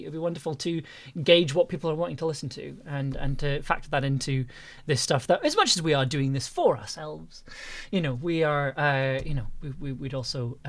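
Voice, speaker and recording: A livestream host chatting casually and a little hesitantly, often repeating phrases while wrapping up a show. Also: medium pitch. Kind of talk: casual chat, closing out a livestream.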